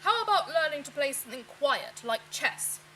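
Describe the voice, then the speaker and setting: A woman acts out a bookish storybook dad who likes his peace. The voice is high for a father and bright, with emphatic, animated delivery. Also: moderate pace, a little hiss or hum, exaggerated voice, rough recording. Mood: coaxing. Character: quiet-loving bookish father, easily bothered.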